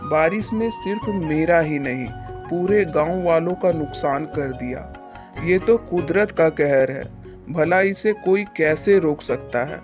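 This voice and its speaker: Humble, earnest farmer for cartoon fable male actor, measured slow speech, music softly behind. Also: on the high side, lightly acted. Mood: pleading. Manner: pleading, earnest, respectful. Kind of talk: moral-story cartoon dubbing. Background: background music.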